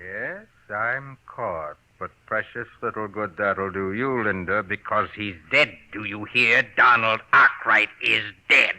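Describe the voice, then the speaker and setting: A man's voice: on the low side, a little slow, hardly any pauses, sharp tone, heavily acted. An actor playing a jealous, arrogant husband and murderer in a 1940s radio drama, his polite manner strained and vehement. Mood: bitter gloating. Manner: smooth politeness cracking into vehement denial. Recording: muffled vintage 1940s radio recording.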